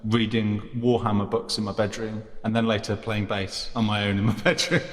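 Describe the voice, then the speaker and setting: A man's voice: lowish voice, level tone, normal speed. Man sound engineer, eager storyteller, far off mic with long reverb. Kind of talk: casual chat.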